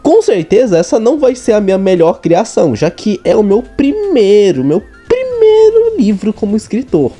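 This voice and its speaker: A man's voice: high voice, very animated, moderate pace, hardly any pauses, dark tone, faint music behind. A young Brazilian man chatting casually to his viewers in an earnest, informal way. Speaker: vlogger and amateur writer.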